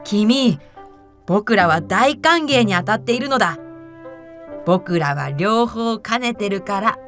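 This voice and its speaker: A woman voicing pompous, gullible young rich gentlemen from the city in a storybook reading, with self-assured, old-fashioned phrasing. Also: medium pitch, animated, slow, soft background music. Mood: smug, pleased. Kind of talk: theatrical fairy-tale character reading.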